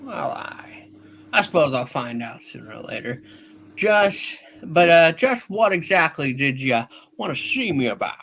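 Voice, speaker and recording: A man reading the part of an earnest young small-town businessman in a hammed-up radio play, stammering and halting as he goes. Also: a little high, very animated, gravelly, fairly bright tone, slight background noise, full character voice. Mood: hesitant.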